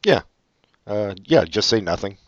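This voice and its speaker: Low-voiced dude cracking crude sarcastic jokes, dry muffled audio. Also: male voice, sing-song, unhurried, dry recording. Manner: sarcastic, profane, jokey. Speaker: podcast co-host. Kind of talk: casual chat, reading advice letters.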